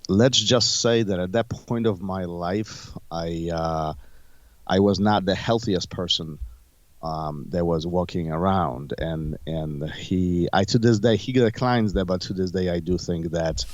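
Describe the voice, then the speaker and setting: A middle-aged man from Poland, a jiu-jitsu instructor, answering interview questions in a measured, hesitant way. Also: sits low, no echo. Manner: measured, hesitant, many fillers and restarts. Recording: dull lo-fi sound.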